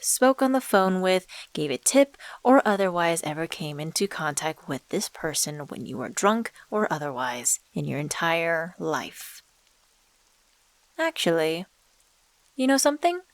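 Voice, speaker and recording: Woman acting as mysterious female dealmaker, lowish pitch, dry close sound, coaxing, teasing, deliberate, ASMR roleplay drama. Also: big pitch swings, dry recording. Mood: patient, reassuring, then persuasive. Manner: coaxing, teasing, deliberate, calls listener sweetheart. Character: enigmatic female stranger, smooth-talking supernatural dealmaker. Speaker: voice actor in a scripted audio drama.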